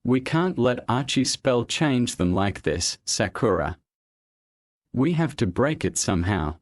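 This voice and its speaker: A man's voice: medium pitch, big pitch swings, measured pace, no room sound, clean studio sound. A man playing an earnest, concerned cartoon hero in scripted dialogue.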